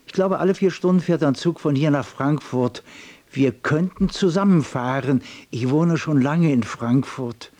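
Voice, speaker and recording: An actor playing a bewildered, hesitant man in a radio play, talking in halting, puzzled fragments. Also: fairly high pitch, mellow, close to an everyday voice. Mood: anxious, questioning. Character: bewildered, lost, hesitant man, unsure of the world. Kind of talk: German radio play acting.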